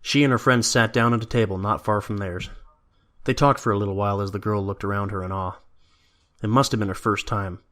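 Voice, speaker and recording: Man, lowish voice, scripted storytelling, narrator of an audio drama. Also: fairly even intonation, a little fast, fairly bright tone.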